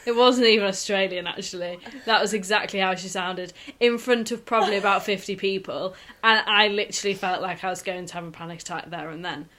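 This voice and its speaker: A young woman hosting a relaxed podcast from her home. Her voice is bright and forward, her talk rambling and chatty. She laughs often between her thoughts. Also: medium pitch, fairly quick, hardly any pauses. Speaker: podcast host. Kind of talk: casual chat between friends. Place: shared home.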